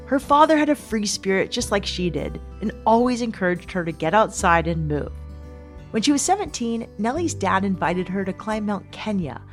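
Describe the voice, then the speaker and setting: Woman podcast host over prominent music. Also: mid-range voice. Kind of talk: scripted narration and interview questions.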